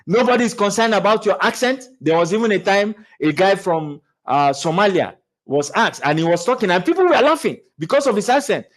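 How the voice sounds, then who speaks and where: Male speaking coach, fairly high voice, lively motivational delivery, frequent stammered restarts. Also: fairly quick. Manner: animated, with many restarts and stammered repeats; laughs while talking. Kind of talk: motivational talk.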